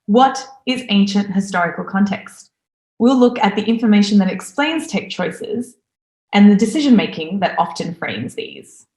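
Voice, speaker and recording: Enthusiastic woman presenter in an echoey room. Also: medium pitch. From Australia. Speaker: conference speaker. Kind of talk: recorded conference talk.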